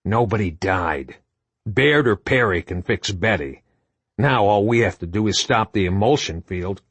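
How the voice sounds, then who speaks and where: A male narrator voicing a terse, stoic male squad leader who speaks low and matter-of-fact. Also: unhurried, fairly bright tone, slightly rough, no echo, exaggerated voice.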